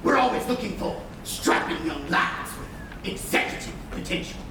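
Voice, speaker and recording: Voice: mid-range voice, lively intonation, normal speed, natural voice. Sly theatrical pirate villain, acted on stage, distant and echoing. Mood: taunting. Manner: mocking, grandiose, sneering delivery. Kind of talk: live stage play acting. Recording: echoey theatre, distant mics, noisy room.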